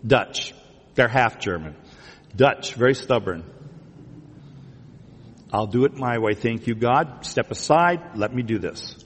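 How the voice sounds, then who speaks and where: A man's voice: medium pitch, animated, slow pace, pauses often, slightly rough. A man speaking as a pastor in a conversational sermon, teasingly putting questions to the congregation and repeating phrases back. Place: large church room before a congregation. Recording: reverberant hall sound.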